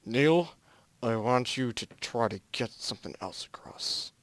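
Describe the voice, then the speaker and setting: A man playing a careful, urgent mission control officer in an amateur story reading, giving halting instructions. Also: mid-range voice, expressive, dry recording, close to an everyday voice. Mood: steady, instructing. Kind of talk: amateur group fan fiction reading.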